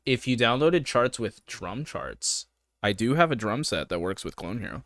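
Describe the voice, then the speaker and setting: Male co-host, higher voice, lively swooping pitch, teasing tone, dry close mic. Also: no echo. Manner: playful, teasing, quick to laugh. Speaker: podcast co-host. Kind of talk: casual chat.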